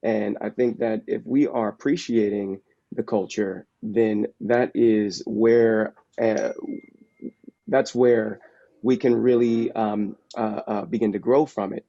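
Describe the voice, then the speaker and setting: Halting, reflective man on a muffled call. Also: fairly low pitch, fairly even intonation, vocal fry, dark tone. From San Francisco Bay Area. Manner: halting, reflective, searching for words. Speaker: capoeira practitioner and actor on a panel. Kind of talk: casual panel discussion.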